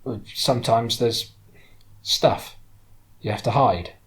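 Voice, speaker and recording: A man's voice: mid-range voice, slow pace, close to an everyday voice. A man voicing a guarded, awkward girl from South London in a storybook, hesitant and terse.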